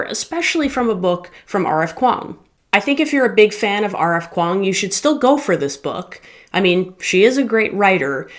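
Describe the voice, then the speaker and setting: Woman, book reviewer, conversational, candid, measured, mid pitch. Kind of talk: casual spoken book review.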